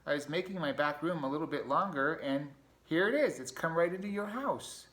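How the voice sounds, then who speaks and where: A man's voice: on the high side, lively intonation, brisk, fairly bright tone, pitched above the performer's own voice. A man voicing a cheery, pushy male pack rat neighbor in a breezy, carefree way. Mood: chirpy.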